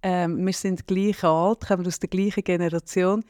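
A man's voice: high voice, level tone, normal speed, a little mellow, no echo, clean studio sound. A man in his late forties with a Swiss German accent, hosting a podcast in a casual, teasing chat and laughing often. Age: 40s. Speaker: podcast host.

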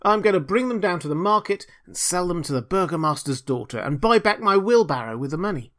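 A humble, loyal little gardener from a storybook, put on by a man. He speaks in a raised, lively pitch with an apologetic, eager manner. His phrases often open with a hesitant stammer. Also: fairly quick. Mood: cheerful. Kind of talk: storybook character reading.

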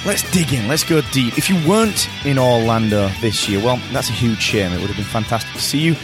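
A British man hosting his own podcast, talking alone from a hotel room in an upbeat, enthusiastic way. His voice is a little croaky from tiredness, and he often repeats words as he talks. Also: mid-range voice, expressive, a little fast, over music. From Britain. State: slightly croaky voice. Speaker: podcast host. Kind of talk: solo podcast monologue.

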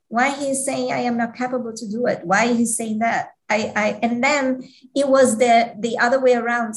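A woman from Switzerland talks as an interview guest at a medium pitch. She speaks hesitantly, restarting her sentences often and using non-native grammar. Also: low-quality recording. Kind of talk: casual interview talk.

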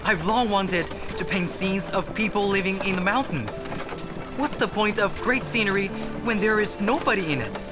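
Radio drama: passionate teenage painter, deferential and very animated, music underneath. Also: male voice, high-pitched, moderate pace. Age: young adult. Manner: earnest, respectful. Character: eager, deferential seventeen-year-old court painter, passionate young man. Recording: music behind the voice.

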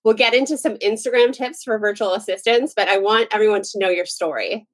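A woman's voice: medium pitch, a little fast. A woman speaking as a podcast host, introducing a guest and asking questions in a casual interview style.

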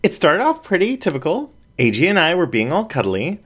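A man doing a shy, halting young raccoon woman. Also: fairly low pitch, wildly swooping pitch, pitched above the performer's own voice. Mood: shy, tender. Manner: halting, hesitant, emotional. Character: young adult female raccoon, shy and tender.

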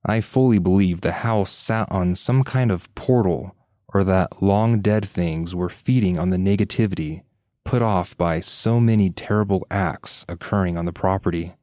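Very deep, dark-voiced man narrating. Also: moderate pace, no room sound, studio quality. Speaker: podcast host reading listener stories. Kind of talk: scripted first-person scary story narration.

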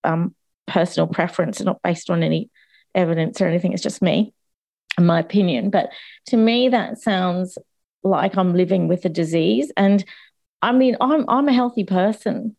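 A woman speaking as a guest on a discussion panel, candid and chatty, with a voice still slightly croaky from a cold. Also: fairly low pitch, normal speed, darkish tone. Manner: candid and chatty, with frequent restarts and laughs.

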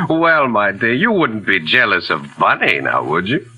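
A man's voice: lowish voice, big pitch swings, slow, sharp tone, exaggerated voice. An actor playing a suave, sardonic middle-aged artist and womanizer, condescending, in 1940s radio drama style. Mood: mocking, amused. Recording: old 1940s radio recording.

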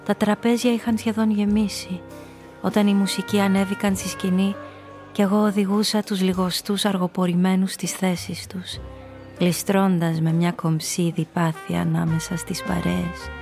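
An actress playing a cynical, seductive femme fatale, confessing her story in an intimate, breathy way in a noir radio play. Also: medium pitch, flat delivery, a little mellow, faint music behind, natural voice. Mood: sultry, self-assured. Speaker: narrator and lead character.

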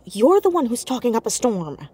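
A very husky, breathy teenage girl in a naturalistic audio drama. She is stubborn and sardonic with a nervous edge, and her pitch moves around a lot. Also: big pitch swings, normal speed, darkish tone. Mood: defensive.

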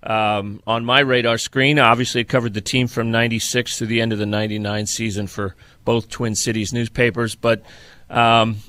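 A middle-aged man hosting a football podcast, talking through sports analysis in a measured, detailed, conversational way. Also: mid-range voice, flat delivery, bright, forceful tone, no room sound.

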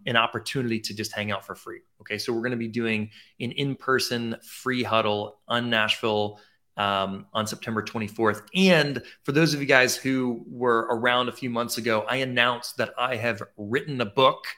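A man's voice: medium pitch, fairly even intonation, normal speed, bright, forceful tone. A man in his thirties hosting a casual online chat as a photography mentor, upbeat and chatty with frequent restarts. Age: 30s.

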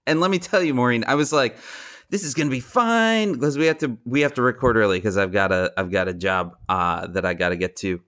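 A man co-hosting a podcast with a deep, just-woken, talked-out voice, telling rambling, self-deprecating comic anecdotes casually. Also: steady tone, fast, few pauses, fairly bright tone. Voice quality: deeper than his usual, morning voice. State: just woke, throat tired from talking. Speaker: podcast co-host.

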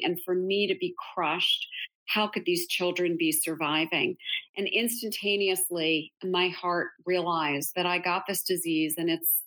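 A woman's voice: on the low side, fairly bright tone, poor audio. A middle-aged woman guest, telling her story in an earnest, emphatic way during an interview conversation. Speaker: interview guest, author and speaker.

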